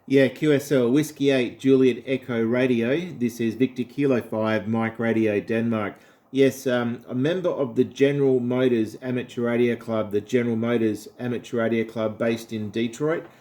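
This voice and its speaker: A man's voice: medium pitch, steady tone, moderate pace, mellow. An Australian man talking casually and politely as an amateur radio operator. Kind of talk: casual ham radio chat.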